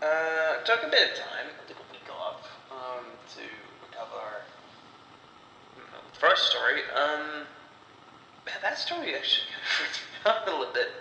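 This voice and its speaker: A man talking casually and haltingly as a storyteller making a tape, muttering and swearing now and then. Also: mid-range voice, pushed and bright. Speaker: host and storyteller of a horror anthology. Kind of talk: casual rambling in-character monologue, as a found-tape recording. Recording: echoey room, long reverb, background noise.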